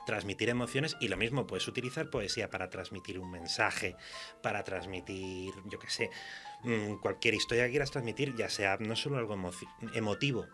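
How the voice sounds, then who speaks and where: Castilian man, halting casual radio chat. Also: lowish voice, pushed and bright, soft background music. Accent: Castilian Spanish. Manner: colloquial, halting, searching for words. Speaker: horror fiction writer. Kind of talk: casual conversation.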